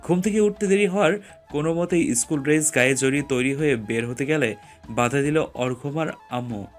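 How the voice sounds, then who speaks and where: A man's voice: mid-range voice, a little fast, faint music behind. A man reading scripted story narration as the narrator.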